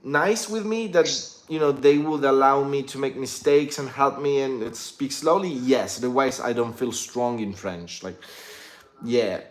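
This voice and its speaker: A man with an Italian accent, hosting a casual conversation about languages and asking lively follow-up questions. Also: medium pitch, measured pace. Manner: animated, asks follow-up questions, repeats words. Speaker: host.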